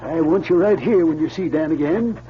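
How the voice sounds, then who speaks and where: An actor playing a kindly, trusting old prospector uncle with a folksy, hesitant delivery in 1940s radio style. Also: male voice, on the high side, moderate pace, a crowd in the background, natural voice. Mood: warm, curious. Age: older. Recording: muffled, hissy old radio recording.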